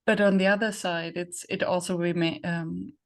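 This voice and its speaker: A woman's voice: fairly low pitch, steady tone, normal speed. A woman with a German accent, a native German speaker, chatting reflectively as an interview host and searching for her words. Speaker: podcast host and facilitator. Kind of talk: conversational interview chat.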